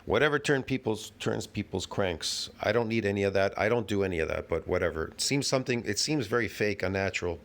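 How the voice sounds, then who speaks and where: Brash, rambling man with a low voice. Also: fast, few pauses. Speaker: channel host reacting to videos. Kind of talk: casual reaction commentary. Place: at home.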